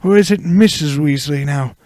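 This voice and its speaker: A man voicing a kindly elderly wizard headmaster, calm, polite and genial, in a story reading. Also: on the high side, normal speed, no echo, studio quality, pitched above the performer's own voice, lightly acted. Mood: courteous.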